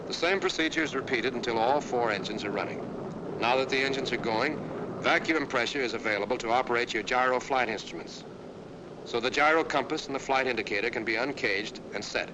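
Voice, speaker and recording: A man giving scripted instructional narration for a military training film, talking to the viewer directly as "you" in a brisk way. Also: medium pitch, noisy background.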